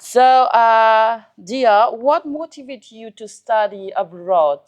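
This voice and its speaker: A woman's voice: a little high, takes it slowly. A woman hosting an interview, asking prepared questions in a chatty, encouraging and affirming way. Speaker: host interviewer. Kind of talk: scripted questions with casual chat.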